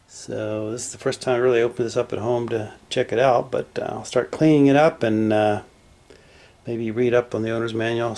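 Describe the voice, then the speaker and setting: A man giving casual, unscripted narration as a hobbyist repairer at his workbench, low-key and hesitant as he thinks aloud. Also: creaky, a little mellow. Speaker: hobbyist electronics repairer presenting his project. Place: small indoor home workshop at a bench.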